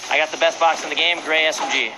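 A young man playing a game with a friend, blunt and sweary, calling out enemies in quick bursts. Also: a little high, fairly even intonation, noisy background. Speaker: teammate. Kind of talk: casual gameplay chat. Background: music playing.

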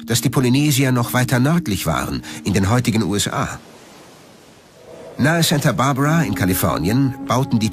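Male documentary narrator, scripted read with lively pitch movement, music underneath. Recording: music behind the voice. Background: background music.

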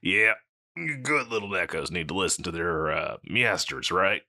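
A man playing a scruffy, insecure slacker catboy pet in a comedic audio roleplay, with a halting, mumbly dude drawl. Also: low-pitched, expressive, bright, forceful tone, no room sound, clean studio sound, full character voice. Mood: laid-back, teasing.

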